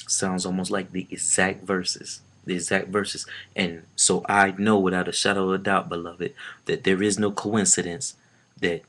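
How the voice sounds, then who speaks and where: A man's voice: fairly low pitch, a bit bright. A man in his thirties giving informal Bible teaching to his webcam at home, reading scripture aloud with rising force and repeating his phrases. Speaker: preacher. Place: small room at home, indoors. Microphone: laptop webcam.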